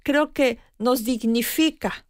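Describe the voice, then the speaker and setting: A woman in her fifties talking in a measured, reflective way as a radio guest. She pauses often and searches for the right word. The studio sound is dry and close. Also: a little high, dry recording. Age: 50s. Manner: thoughtful, measured, with frequent pauses and hesitations. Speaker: guest expert on language learning. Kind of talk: radio conversation.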